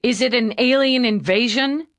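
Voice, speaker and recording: A woman's voice: fairly high pitch, moderate pace, a bit bright, no room sound, pitched above the performer's own voice, natural voice. Woman playing a blunt, tough police lieutenant. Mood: curt. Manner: blunt, curt orders. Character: tough, gruff female homicide lieutenant.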